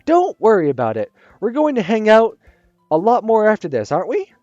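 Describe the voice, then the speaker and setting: Pushy, shrill schoolgirl, high and bouncy, voiced by a man. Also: fairly quick, dry recording, lo-fi sound, faint music behind. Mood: eager. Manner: excited, chattering, shrill. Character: loud bubbly teenage schoolgirl, pushy and playful.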